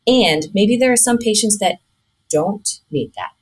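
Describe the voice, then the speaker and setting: A woman speaking as a physician researcher in a podcast interview, explaining findings in a measured, articulate way with clinical terms. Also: mid-range voice, animated, slightly rough.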